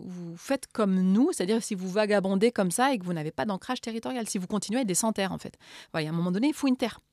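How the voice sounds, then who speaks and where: A middle-aged woman from Morocco answering interview questions thoughtfully, often pausing and repeating words as she searches for them. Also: mid-range voice, a little mellow, dry recording, clean studio sound. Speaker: author and political scientist.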